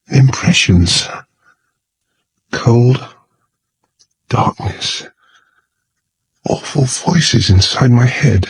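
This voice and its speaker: Breathy, raspy, shaken young gentleman. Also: medium pitch, dry recording, heavily acted, rough recording. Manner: halting, emotional, well-bred politeness. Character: gallant, shaken young gentleman, escaped captive.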